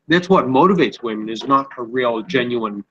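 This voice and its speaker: A man calling into a group chat, sounding nasal from a cold, talking in a measured way. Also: medium pitch, expressive, bright, forceful tone, dull audio, slight background noise. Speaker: call-in guest. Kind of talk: casual online group chat.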